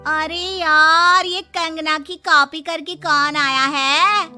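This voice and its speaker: A woman voicing a cocky, playful young college girl with boastful, drawn-out delivery in an animated story. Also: extremely high pitch, faint music behind, full character voice. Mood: mocking. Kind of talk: animated moral story dialogue.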